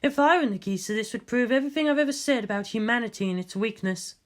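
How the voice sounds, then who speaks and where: A woman voicing a cool, cynical, analytical female advisor in a story, measured and matter-of-fact. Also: a little high, lightly acted.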